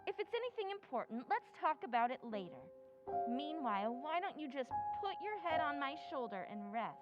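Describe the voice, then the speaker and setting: An actress playing a doting wife who is secretly a machine in an old-time radio drama recreation, cooing and soothing. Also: female voice, high-pitched, sing-song, brisk, lo-fi sound, over loud music. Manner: cooing, soothing, overly sweet. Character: Nettie, sweet doting robot wife.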